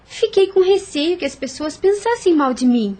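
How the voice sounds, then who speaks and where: A Brazilian actress playing a polite, shy teenage daughter with a budding crush in a radio drama. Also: female voice, extremely high pitch, lively intonation, moderate pace, loud music behind the voice. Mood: polite, bashful.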